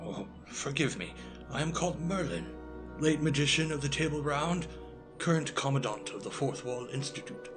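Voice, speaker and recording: An actor playing a genial, sly old wizard who commands an institute, speaking in a theatrical, breezy, courtly way in an audio drama. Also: male voice, fairly high pitch, animated, moderate pace, music in the background, lightly acted.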